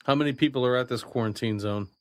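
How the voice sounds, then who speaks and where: A man's voice: mid-range voice, fast talker, no echo, close to an everyday voice. Actor as terse, defiant male survivor. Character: Ambridge, tough, wary, stubborn male survivor. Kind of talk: audio drama acting.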